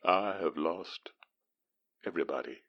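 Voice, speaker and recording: An actor playing a haunted, grieving male survivor of a disaster, speaking in a halting, theatrical, poetic way. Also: low-pitched, creaky, highs cut off, exaggerated voice. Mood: grieving, solemn. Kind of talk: dramatic monologue in audio drama.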